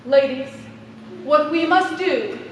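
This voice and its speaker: A woman acting in a live stage play as an imperious, scheming committee president, making pompous, deliberate speeches. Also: sits high, dull audio. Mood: smooth, condescending. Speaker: stage actor. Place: indoor theater stage, recorded from afar. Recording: echoey theater hall, distant mic, faint noise.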